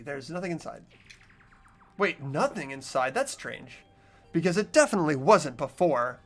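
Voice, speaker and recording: An anxious high school boy as a performer acts him out narrates a game mystery in an earnest, hesitant voice of middling pitch. The delivery is dramatic and expressive, over music. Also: heavily acted. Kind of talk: scripted visual novel narration. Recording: background music behind voice.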